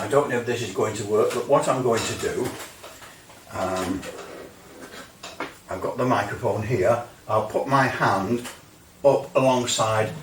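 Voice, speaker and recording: A man giving a casual hands-on demonstration of radio equipment, rambling and hesitant with grumbling asides. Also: mid-range voice. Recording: roomy, distant mic, faint noise.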